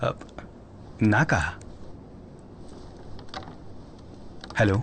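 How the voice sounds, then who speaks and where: A man's voice: slow pace, slight background noise. An actor playing an earnest, methodical male forensic advisor who explains things deferentially in film dialogue. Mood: hesitant, deferential. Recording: loud music bed under dialogue.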